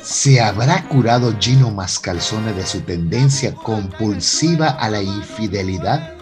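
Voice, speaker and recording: A man's voice: fairly low pitch, animated, unhurried, music in the background. A man narrating a radio soap opera in a dramatic, scripted storytelling style.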